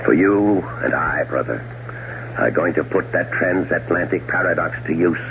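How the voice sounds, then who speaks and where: An actor playing a cold-blooded, middle-aged scheming brother in a 1970s radio drama, suave, sardonic and deliberate. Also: male voice, low voice, creaky. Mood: coolly menacing. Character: scheming, cold-blooded older brother plotting his wife's murder. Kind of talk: 1970s radio drama acting and first-person narration. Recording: dull, noisy old radio broadcast recording.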